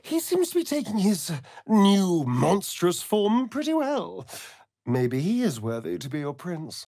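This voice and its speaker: An actor playing a centuries-old, flamboyant and sardonic vampire rogue in a video game, with an arch, theatrical drawl. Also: male voice, sits high, huge pitch swings, measured pace, warm tone, no room sound, studio quality, full character voice. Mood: wry. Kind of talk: video game character acting.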